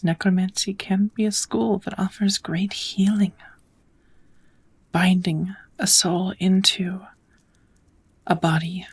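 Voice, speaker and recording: A woman voicing a kind, knowledgeable witch who gently teaches magic in a measured, whispered ASMR roleplay. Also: medium pitch. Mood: gently instructive. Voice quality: soft, breathy whispering.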